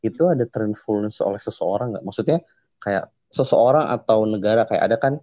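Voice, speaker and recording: A man with a low, mellow voice asks questions in a loose, slangy mix of Indonesian and English, pausing to hunt for words. The recording is dull and muffled. It is dry, with no room sound. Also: no echo. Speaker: podcast co-host. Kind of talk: casual bilingual podcast chat.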